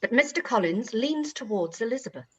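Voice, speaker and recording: A woman's voice: fairly high pitch, expressive, a little fast, a bit bright, husky, muffled recording. A woman reading scripted stage directions as the narrator of a period radio play.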